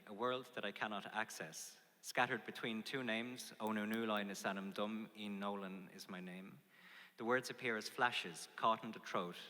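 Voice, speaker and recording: A man from Ireland, reading a poetic essay in a slow, reflective, low-key way. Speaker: narrator. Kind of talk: scripted poetic narration. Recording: loud guitar music under the voice. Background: guitar music.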